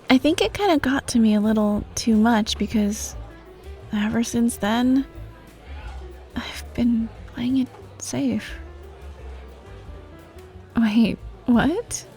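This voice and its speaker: A woman playing a friendly, teasing yet bashful popular student, speaking softly and casually to the listener in a wholesome ASMR roleplay. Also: fairly high pitch. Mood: bashful, hesitant. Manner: soft, casual, hesitant, giggly. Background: music, cafe ambience.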